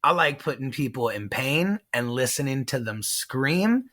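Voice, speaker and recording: Man, from Texas, bright forceful voice, cocky heel wrestler trash talk. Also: medium pitch, animated, a little slow. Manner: boastful, menacing, heel persona. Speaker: pro wrestler guest. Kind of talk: brash trash-talking wrestling promo.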